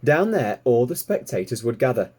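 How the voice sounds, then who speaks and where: Man teacher reading a kids book, soft-edged mellow voice, slightly husky, really animated. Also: mid-range voice, moderate pace. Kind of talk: expressive children's storybook narration.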